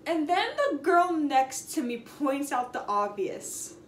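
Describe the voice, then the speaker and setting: A young woman casually telling an anecdote in a chatty, animated, sarcastic way, stretching words for emphasis. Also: high-pitched, slow pace. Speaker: storytime vlogger. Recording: roomy echo, noticeable background noise.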